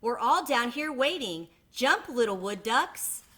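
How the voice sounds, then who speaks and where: An encouraging storybook mother duck, voiced by a woman, calls out in a bright, lifted voice. She coaxes her little ones with clear emphasis and cheer. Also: fairly high pitch, big pitch swings, a little slow, pitched above the performer's own voice, exaggerated voice. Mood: coaxing. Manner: coaxing, emphatic. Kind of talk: children's storybook read-aloud.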